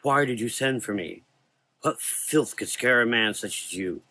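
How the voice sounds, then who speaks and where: A man's voice: medium pitch, measured pace, pushed and bright. A man playing a mysterious gothic figure with a gift for minds, speaking in a soothing, coaxing, hypnotic way in an audio drama. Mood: earnest, gentle.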